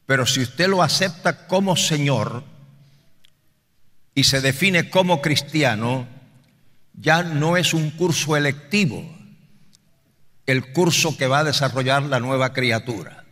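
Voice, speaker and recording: A man's voice: medium pitch, takes it slowly, long pauses, bright, forceful tone. A man preaching an expository Bible lesson in a didactic, emphatic way, repeating key phrases for stress. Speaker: preacher teaching a Bible study. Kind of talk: expository sermon with scripture reading. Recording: reverberant hall with long echo.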